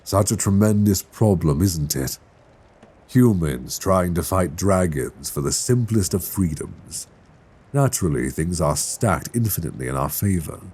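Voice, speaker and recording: A man playing an ancient dragon king in an intimate ASMR roleplay, tender and wry, with courtly, teasing phrasing and a husky voice. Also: deep voice, animated, unhurried, darkish tone, full character voice. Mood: musing, teasing. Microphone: close binaural microphone.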